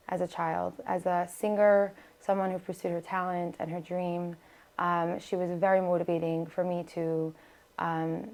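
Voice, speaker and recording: A woman from the United States, raised speaking Hebrew, talks as a podcast guest. Her voice sits a little low, and she chats freely and loosely, full of fillers and restarts. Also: creaky, a little mellow. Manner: chatty, many fillers and restarts. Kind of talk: casual interview talk.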